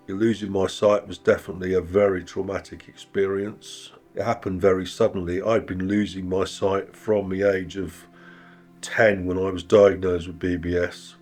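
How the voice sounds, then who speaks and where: A man in his fifties with a very low, deep voice, talking in a measured, reflective way with a dry wit. It is a documentary interview, and faint music plays underneath. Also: level tone, darkish tone. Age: 50s. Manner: reflective, wry, measured with pauses. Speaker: interviewee, musician and sound engineer.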